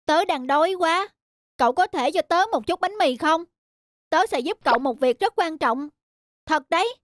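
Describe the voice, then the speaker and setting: A woman's voice: extremely high pitch, lively intonation, normal speed, no echo, clean studio sound. A woman voicing a well-meaning cartoon crow who speaks in an earnest, urging way. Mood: pleading. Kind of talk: children's cartoon dubbing.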